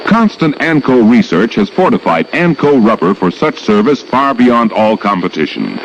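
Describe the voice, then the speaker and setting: On a muffled old radio recording with faint noise, an American man reads a commercial. His mid-pitched voice swings in an animated way, polished and emphatic in the manner of 1960s advertising. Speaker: commercial announcer.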